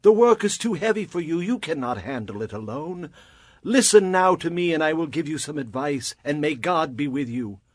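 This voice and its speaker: A man's voice: fairly high pitch, animated, normal speed, no room sound. An actor playing an earnest, wise older father-in-law in a dramatized scripture production, speaking in an emphatic, declamatory way. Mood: firm advice.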